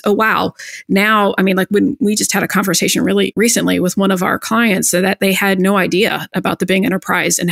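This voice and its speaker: A woman's voice: lowish voice, vocal fry, no echo, clean studio sound. A woman speaking as a consultant guest on a business podcast, conversational, often checking in as she explains. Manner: conversational, punctuates points with "right?" and "you know".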